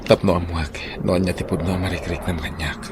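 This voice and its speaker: An actor playing an earnest, devoted young suitor in an Ilocano radio drama, with an Ilocano accent. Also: male voice, sits low, little pitch movement, fast, noisy background. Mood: probing, tender. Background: background music.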